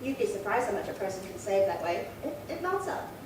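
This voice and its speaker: Actress as a prim old woman, high swooping voice, fussy, indignant, rambling, radio crime drama, very echoey distant recording. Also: big pitch swings, fairly quick, natural voice. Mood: chatty, flustered. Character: prim, chatty, indignant old woman of seventy-eight reporting burglary. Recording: very echoey, distant, noisy room.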